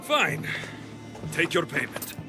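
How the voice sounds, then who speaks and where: An actor playing a gruff, determined mercenary hero in a video game, blunt and intense. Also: male voice, fairly high pitch, big pitch swings, slow, music in the background, exaggerated voice. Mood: firm, threatening. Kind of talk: video game cutscene acting.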